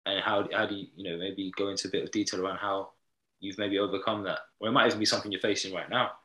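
A very low-pitched man with a bright, forceful tone hosts a podcast interview. He asks long, rambling questions and restarts his sentences often. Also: level tone, very fast, rough recording. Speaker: podcast host.

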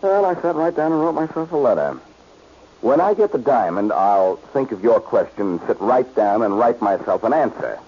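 An actor playing a cocky, wisecracking amateur detective with a glib, teasing manner in 1940s radio style. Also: male voice, medium pitch, expressive, moderate pace, a little mellow, slightly rough. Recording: muffled, hissy old radio recording.

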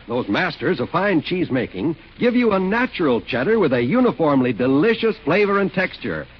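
A male announcer in 1940s radio style, folksy and emphatic. His voice is a little high and husky, with big melodic swings. The recording is muffled.

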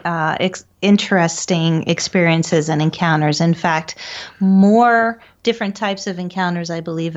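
A woman's voice: lowish voice, muffled recording. A woman speaking as an interview guest, recounting experiences in a conversational, explanatory way. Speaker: interview guest, paranormal researcher.

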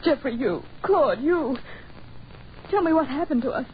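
A woman's voice: high-pitched, animated, a little slow. An actress playing an anxious, bewildered woman, pleading and urgent, in a 1940s radio horror drama. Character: anxious, bewildered woman trapped in danger, tender companion. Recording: tinny, noisy 1940s radio recording.

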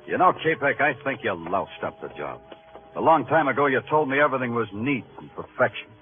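A man's voice: medium pitch, expressive, a little fast, close to an everyday voice. A man acting a weary, wry New York police detective in a 1950s radio crime drama, with an American accent and a terse, brooding delivery. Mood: wry defiance. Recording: narrow, tinny old radio recording with music.

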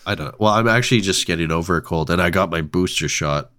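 A man co-hosting a casual film podcast, relaxed and thoughtful, with a stuffed-up nose. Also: deep voice, fast talker, dry recording, clean studio sound. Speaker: podcast co-host.

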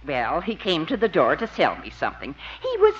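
Sweet trusting old mom, 50s radio comedy, fluttery and super up and down, muffled lofi audio. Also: female voice, low-pitched, huge pitch swings, brisk, husky, heavily acted. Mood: sweet, pleased. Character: sweet, trusting, gullible elderly mother. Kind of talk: 1950s radio comedy acting. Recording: dull lo-fi old radio recording.

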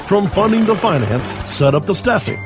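A man's voice: high-pitched, sing-song, moderate pace. Announcer man over a music bed. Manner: polished announcer delivery. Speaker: show announcer. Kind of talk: scripted show intro.

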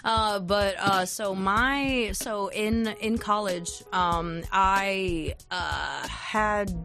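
A woman's voice: mid-range voice, very slow, a bit bright, soft background music. A young woman from Singapore, a podcast guest chatting casually, laughing often and swearing lightly with many fillers. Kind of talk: casual chat.

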